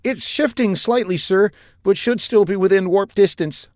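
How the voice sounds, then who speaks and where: A man's voice: normal speed, a little mellow, dry recording, studio quality, lightly acted. A man voicing a nervous young male lieutenant reporting to his captain. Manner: jittery, high and strained.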